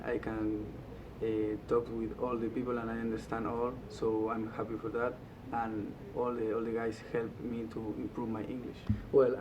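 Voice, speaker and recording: 18-year-old Argentine man, Spanish native speaker, hesitant English, flat delivery, off-mic with heavy rumble. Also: dull audio. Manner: hesitant, with many "uh" fillers. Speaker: student athlete. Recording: distant mic with loud rumble.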